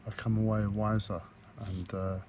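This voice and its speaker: A man with a croaky, hoarse voice, talking hesitantly with many fillers and restarts in a personal video diary recorded on a tablet. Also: fairly low pitch, flat delivery, normal speed, a little mellow, slight background noise. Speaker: vlogger.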